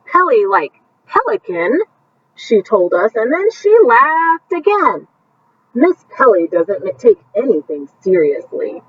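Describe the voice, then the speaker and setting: Female teacher, bedtime read-aloud, very expressive voice, stops to comment on the pictures, dull lo-fi sound. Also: a little high, distant mic, slight background noise. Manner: animated, pausing to point out pictures to young listeners.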